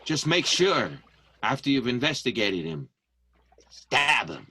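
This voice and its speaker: A man's voice: mid-range voice, sing-song, slow, sharp tone, faint music behind, heavily acted. A man playing a nervous, needy client in an improvised radio soap. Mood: conspiratorial.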